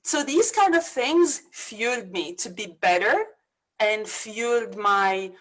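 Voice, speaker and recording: A woman's voice: medium pitch, a bit bright, rough recording. A woman from Lebanon with a Lebanese accent, a business coach speaking earnestly and energetically as a guest in a video-call interview.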